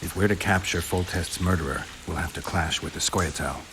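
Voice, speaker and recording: Man playing a very deep, stoic monster hunter. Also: brisk, background noise, natural voice. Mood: dry, matter-of-fact. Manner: terse, understated, dry. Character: stoic, world-weary male monster hunter for hire. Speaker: voice actor. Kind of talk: video game cutscene acting. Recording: rain and ambience behind the voice.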